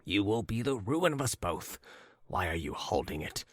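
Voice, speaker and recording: A man reading an audiobook, voicing a scornful young man who speaks in hushed, urgent tones. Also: lowish voice, very animated, moderate pace, no echo, studio quality. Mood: tense. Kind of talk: audiobook character voice.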